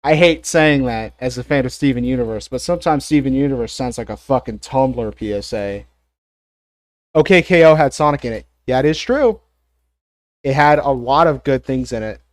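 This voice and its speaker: A congested man chatting on a live stream, opinionated and loose, with plenty of ums and likes. His voice sits at an average pitch. The sound is dry and close with no room echo. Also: expressive, normal speed. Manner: rambling, opinionated, frequent fillers and swearing. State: congested, coughing. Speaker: streamer ranking cartoons. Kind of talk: casual live-stream commentary.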